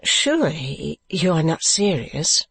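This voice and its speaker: A woman voicing a modest young daughter in a classic novel, gentle, earnest and quick to demur. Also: low voice, very animated, vocal fry, slightly rough, dry recording, heavily acted. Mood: embarrassed. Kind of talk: dramatised classic novel reading.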